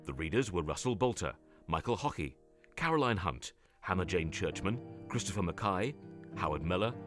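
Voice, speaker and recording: Deep-voiced male radio announcer over music. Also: expressive, moderate pace. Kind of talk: scripted radio credits narration.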